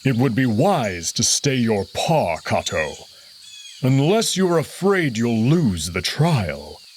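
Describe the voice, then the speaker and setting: Young wolf prince, read by a man, formal and defiant, slow measured delivery. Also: mid-range voice, big pitch swings, slight background noise.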